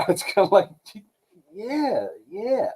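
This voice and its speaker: A man with a slightly high voice chats casually as a podcast co-host. His intonation swings widely, and he often restarts phrases while sharing opinions. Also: wildly swooping pitch, takes it slowly, a little mellow, husky.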